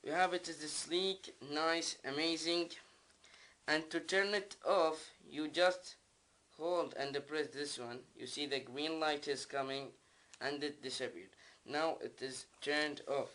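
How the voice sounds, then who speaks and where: A man from the Middle East, a native Arabic speaker with an Arabic accent, giving a casual unboxing demonstration in simple, halting, non-native phrasing. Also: fairly high pitch, steady tone, bright, forceful tone, rough recording. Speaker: video creator, product reviewer. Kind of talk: casual unboxing vlog, talking while demonstrating.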